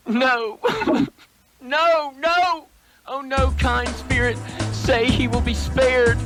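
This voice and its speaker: A man's voice: very high-pitched, fairly even intonation, drawn-out words, fairly bright tone, soft background music, full character voice, low-quality recording. An actor playing an anxious, humbled man in a radio play, hesitant and deferential. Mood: anguished pleading.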